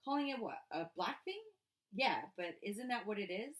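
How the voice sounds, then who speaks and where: A woman's voice: mid-range voice, expressive, moderate pace, pitched above the performer's own voice, close to an everyday voice. A woman voicing a polite, hesitant teenage boy in an audiobook story. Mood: hesitant, curious.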